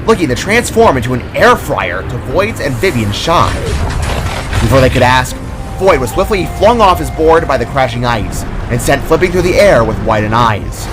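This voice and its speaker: Male author-narrator, mid-pitched, dramatic scripted storytelling, clear music bed underneath. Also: very animated, moderate pace.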